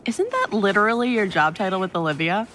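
A woman's voice: mid-range voice, very animated, a little fast, fairly bright tone, a little hiss or hum. A woman playing a sardonic, grumbling minion in a horror-comedy audio skit.

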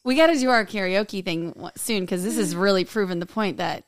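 A hoarse woman with a raspy voice, co-hosting a podcast in casual, joking chat. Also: mid-range voice, fairly quick, no echo. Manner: joking, drawling, breaks into laughter. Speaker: podcast co-host. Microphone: studio microphone.

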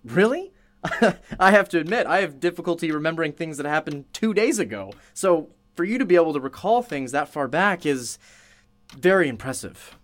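A man's voice: fairly high pitch, lively intonation, normal speed, fairly bright tone. A man playing a chatty, courteous radio show host interviewing a witness in a radio play, effusive and apologetic. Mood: curious, courteous.

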